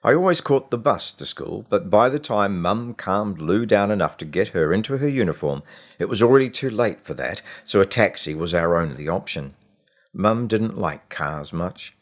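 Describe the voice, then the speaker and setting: Man, deep voice, audiobook storytelling, measured and expressive, deliberate pauses. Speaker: author reading own short story. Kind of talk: scripted audiobook narration.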